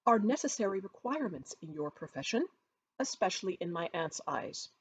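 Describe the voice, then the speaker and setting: A woman voicing a proud, reserved, disdainful gentleman of high rank in an audiobook, in a cool, dry, formal manner. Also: animated, brisk, a little hiss or hum, natural voice. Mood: restrained. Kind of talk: audiobook character voice.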